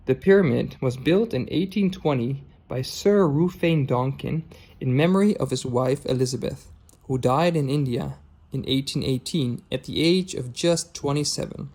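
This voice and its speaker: Calm Dutch-speaking male travel narrator. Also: mid-range voice, normal speed. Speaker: travel vlogger. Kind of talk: scripted voice-over narration.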